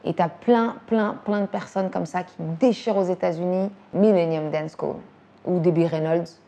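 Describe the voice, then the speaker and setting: A woman from Belgium in her thirties, talking casually and quickly about music and dance, using slang and repeating words. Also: lowish voice, steady tone, dark tone, slight background noise. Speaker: actress and director talking about her influences. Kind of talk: casual chat.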